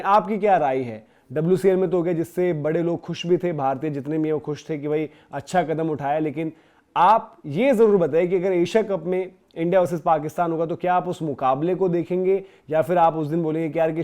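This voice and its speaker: A man's voice: a little high, darkish tone. A man presents opinionated sports news commentary, speaking to viewers in an emphatic, conversational way with rhetorical questions.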